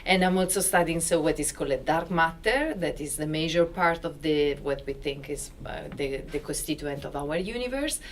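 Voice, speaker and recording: Italian-accented woman, low voice, animated. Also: moderate pace, few pauses, slight background noise. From Italy. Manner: animated, searching for words, non-native phrasing. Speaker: physicist on a panel. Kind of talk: panel introduction. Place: university lecture room with audience. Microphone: handheld mic.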